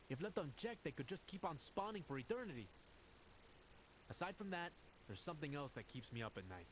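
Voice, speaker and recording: A man acting an earnest, troubled squad officer who confides deliberately in a video game audio log. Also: fairly high pitch, slight background noise, low-quality recording. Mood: grave, uneasy.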